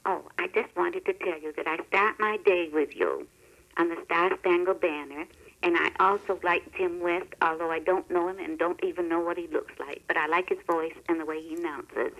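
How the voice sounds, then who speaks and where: A woman's voice: fairly low pitch, fairly even intonation, normal speed, fairly bright tone. A woman phoning a radio show as a listener, speaking plainly. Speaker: phone-in caller. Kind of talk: brief, plain listener call. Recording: muffled 1970s telephone line on radio.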